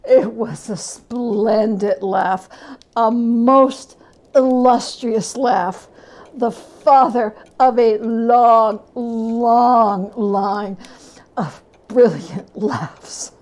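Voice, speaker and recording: Slow, high-voiced woman storyteller. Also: fairly even intonation, darkish tone. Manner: theatrical, savoring words with long pauses. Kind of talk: dramatic reading of a classic story.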